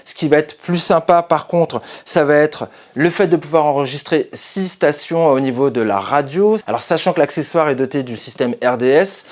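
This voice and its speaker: A male reviewer speaks in a fairly high register. He hosts a channel and walks through products in a casual, explanatory style. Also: normal speed, hardly any pauses.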